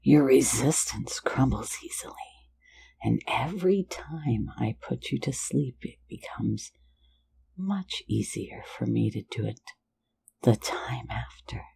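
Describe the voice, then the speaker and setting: A woman's voice: extremely low pitch, expressive, takes it slowly, gravelly, mellow, breathy edge, heavily acted. A woman voicing a sly, playful phantom thief in an ASMR roleplay, mostly whispering close to the mic in a teasing, soothing way. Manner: teasing, soothing, lulling listener to sleep.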